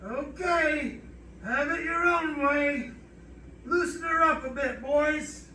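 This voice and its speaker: A roomy, distant recording of a man acting a tough gumshoe cop. He calls out slowly in a very high, sardonic, commanding voice for a radio crime drama. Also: heavily acted. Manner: calling out loudly, deliberate. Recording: roomy distant stage recording, faint noise.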